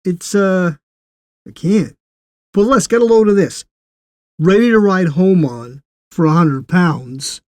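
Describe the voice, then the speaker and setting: A man's voice: high voice, slow, pauses often, darkish tone, voice pushed up. A man voicing a brash, impatient soldier from Brooklyn in an audiobook story, with a pushy, informal manner. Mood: amazed.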